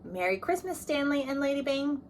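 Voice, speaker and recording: A woman voicing an earnest, expressive young girl who loves hockey, in a children's storybook. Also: high voice, fairly quick, a little hiss or hum, pitched above the performer's own voice. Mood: happy. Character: young girl, anxious but excitable hockey lover.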